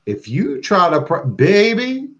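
A man's voice: high-pitched, huge pitch swings, slow pace, a bit bright, dull audio. A man chatting as a podcast guest, flamboyant and profane, calling people baby and girl. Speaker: guest, occult practitioner. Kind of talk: casual podcast chat.

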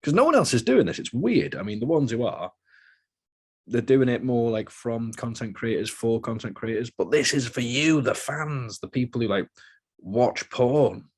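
A man's voice: medium pitch, lively intonation, normal speed, a little mellow, breathy edge. A British man hosting a podcast in a chatty, joking, rambling way. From Britain. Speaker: podcast host. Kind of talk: casual podcast chat.

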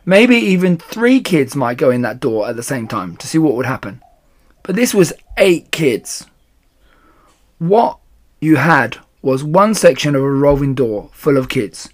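A man's voice: mid-range voice, sing-song, a little slow. A man voicing an exasperated fire chief in a children's storybook, clipped and matter-of-fact. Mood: baffled.

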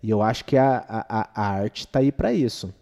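Mellow Brazilian man in a reverberant room. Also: fairly low pitch, moderate pace, creaky. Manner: slangy, rhetorical, frequent check-in questions. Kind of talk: casual, opinionated podcast conversation.

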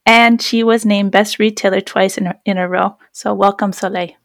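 A woman's voice: mid-range voice, fairly even intonation, moderate pace, creaky, no room sound, clean studio sound. A woman hosting a skincare podcast, reading a scripted intro and then chatting with a guest in an upbeat, enthusiastic way. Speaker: podcast host and esthetician.

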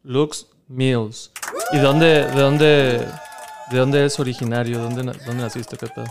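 A man's voice: medium pitch, level tone, slow, crowd noise. A man with a Mexican accent hosting a casual, bantering interview, talking in slangy, profane, teasing style. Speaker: podcast host and fellow cook.

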